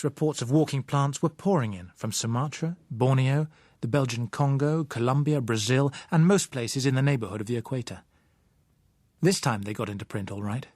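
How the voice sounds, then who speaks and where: A British man reading a first-person novel as audiobook narrator, measured, articulate and dryly wry. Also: mid-range voice, darkish tone, no echo, studio quality.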